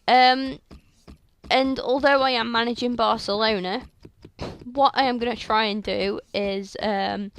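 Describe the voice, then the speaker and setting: A young woman with a sore, croaky throat, chatting through video game commentary on a headset mic in a rambling way full of fillers. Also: medium pitch, fairly bright tone. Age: young adult. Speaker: gaming channel host. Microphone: headset microphone.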